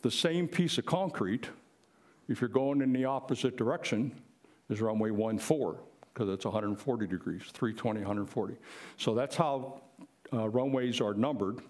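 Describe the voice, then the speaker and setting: A man's voice: mid-range voice, moderate pace, a little mellow. An older man speaking plainly and explaining things in an informal presentation to a live audience. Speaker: presenter, retired air traffic controller.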